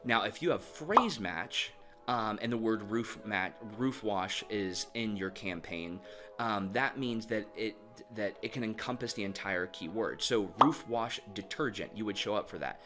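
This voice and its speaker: A man with a fairly low voice explains advertising tips to camera in a relaxed, conversational way, leaning on "you know" and "um" as he talks. Music plays clearly underneath him throughout. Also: measured pace. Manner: conversational, frequent "you know" and "um" fillers, direct advice to viewers. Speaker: marketing agency owner giving advice.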